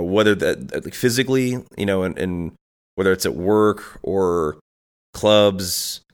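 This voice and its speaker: Podcast host, male, congested, deep smooth voice, rambling reflective solo talk. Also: a bit bright. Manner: rambling, thinking aloud with restarts and asides. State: congested from allergies.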